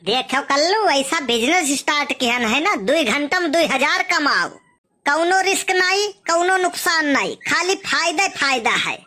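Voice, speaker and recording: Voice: sits high, sing-song, moderate pace, pushed and bright, heavily acted. A performer playing a wily, wheedling old male beggar in a village cartoon comedy, boastful, with an Awadhi accent. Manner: sing-song blessings, wheedling, boastful.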